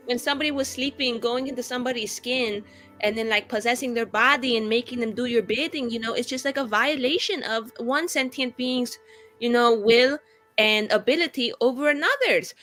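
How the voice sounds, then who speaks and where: Woman playing a sassy, high-voiced young companion. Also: brisk, fairly bright tone, soft background music, close to an everyday voice. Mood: worried, insistent. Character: vain, worried, sassy young woman debating her companion.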